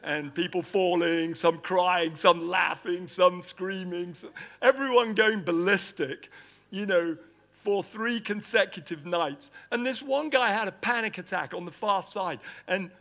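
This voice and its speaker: A middle-aged man who grew up in Nigeria, preaching in an animated storytelling style and acting out the scenes he describes. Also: sits high, a little slow. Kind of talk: storytelling sermon.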